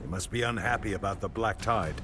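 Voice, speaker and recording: Man playing a deep, grim warrior commander. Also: a little fast, over music, lightly acted. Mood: resolute. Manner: terse, stern. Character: grim, determined warrior commander, adult male. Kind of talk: scripted fantasy video game acting.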